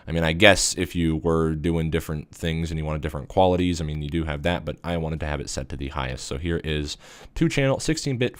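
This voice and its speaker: A man with a deep voice casually explaining a gear review, with lots of fillers, into a USB studio microphone. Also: no room sound. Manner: rambling, filler-heavy explanations. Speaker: tech reviewer.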